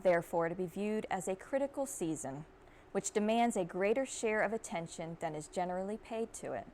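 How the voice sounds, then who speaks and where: A woman reading an old treatise aloud in a formal, deliberate and measured way. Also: mid-range voice, slight background noise. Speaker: university archivist, reader.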